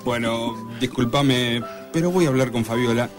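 An actor playing an encouraging but shifty piano teacher in a comic radio drama, with an Argentine accent. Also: male voice, medium pitch, moderate pace, lightly acted. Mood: defensive.

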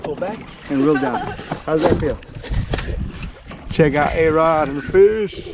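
A man's voice: a little high, slow. A man in his thirties giving casual running commentary to viewers as a fishing vlogger, repeating catchphrases and addressing them like buddies. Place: small open motorboat on a river. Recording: noisy open-air boat recording.

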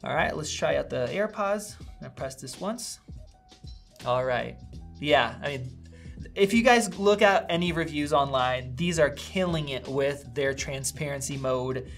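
A man's voice: fairly high pitch, expressive, unhurried, vocal fry, fairly bright tone, soft background music. A man in his thirties casually reviewing headphones, thinking aloud as he tries them on at a desk in a small studio room. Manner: upbeat, conversational, thinking aloud while testing. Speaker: tech reviewer. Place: small indoor studio room with a vent running.

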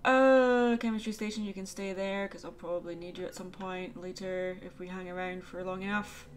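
A woman's voice: mid-range voice, fairly even intonation, normal speed, slight background noise. A young woman chatting casually and thinking aloud while playing a game. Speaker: let's play host. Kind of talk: casual gameplay commentary.

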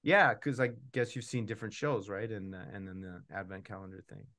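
A man's voice: fairly low pitch, brisk, fairly bright tone. A middle-aged man, casually hosting an online event from a room at home. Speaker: host, puppeteer and author. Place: home room on a video call.

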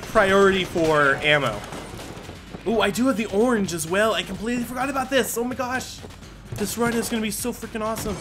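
A man giving casual, excitable commentary as he plays a video game, often talking to himself. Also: high voice. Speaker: gaming YouTuber. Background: game music and gunfire.

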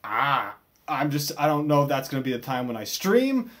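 American guy in his 20s doing a casual vlog, medium pitch, kinda unsure and self-deprecating, talking to the camera in his bedroom. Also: big pitch swings, normal speed, a bit bright. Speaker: video creator. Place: small bedroom, indoors.

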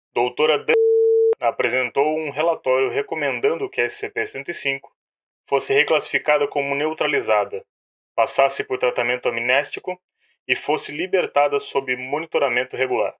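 A formal male director narrating a classified report in an audio drama, acted by a man. His voice is mid-pitched and bright, and his scripted delivery stays clinical and official. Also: close to an everyday voice.

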